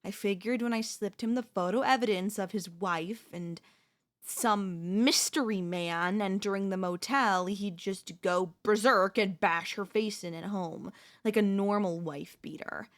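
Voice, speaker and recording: A woman playing a possessive, manipulative wife in an ASMR audio roleplay, speaking to the listener in a sweetly condescending, deliberate, theatrical way. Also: medium pitch, lightly acted. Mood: smug, chilling calm. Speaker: voice actress.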